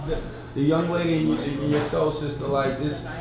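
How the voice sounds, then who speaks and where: A man on a live panel, speaking haltingly and searching for words. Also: fairly high pitch, little pitch movement, very noisy. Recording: very echoey, distant, loud music.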